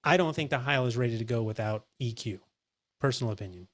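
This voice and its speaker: A man hosting a casual roundtable chat, talking in an animated, emphatic, opinionated way into a dynamic mic pointed up at his face. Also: medium pitch, fast. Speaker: host.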